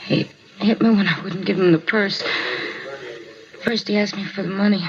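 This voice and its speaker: An actress playing a dazed, pained mugging victim in a 1950s radio drama, answering haltingly and repeating herself. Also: female voice, medium pitch, fairly even intonation, natural voice. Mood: weak, upset. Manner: halting, repetitive, confused short answers. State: in pain, weak. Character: dazed, hurting woman mugging victim, pleading. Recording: muffled, noisy 1950s radio recording.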